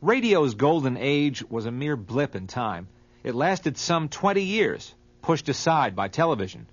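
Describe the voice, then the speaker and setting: A man's voice: medium pitch, big pitch swings, a little slow, breathy edge. A man reading scripted documentary narration. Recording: dull, muffled audio.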